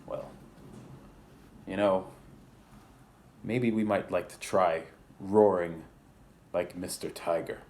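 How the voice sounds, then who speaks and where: A man's voice: low-pitched, fairly even intonation, slow, long pauses, darkish tone. A man hosting a children's picture book read-aloud, speaking with exaggerated, theatrical politeness and chatty asides. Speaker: library storyteller and host.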